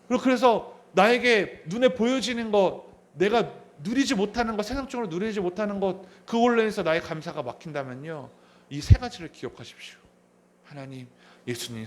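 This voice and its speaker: A pastor in his thirties preaches from the pulpit in a voice that sits a little high for a man. He often asks the people in front of him questions and says his main points more than once. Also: a little hiss or hum. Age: 30s. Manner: asks the congregation questions, repeats key phrases. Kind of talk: sermon. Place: church sanctuary.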